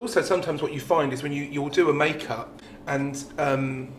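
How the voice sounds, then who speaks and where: A man's voice: a little high, fairly even intonation, moderate pace. A man with a British accent talking informally and reflectively in an interview, often letting a thought trail off. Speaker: pantomime dame performer. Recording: roomy echo with background noise.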